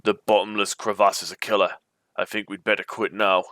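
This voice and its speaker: A man's voice: on the low side, flat delivery, a little fast, pushed and bright, dry recording, clean studio sound. A man voicing a firm, impatient polar researcher in a storybook. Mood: relieved. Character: impatient but caring male polar research expedition member. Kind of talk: storybook character voice.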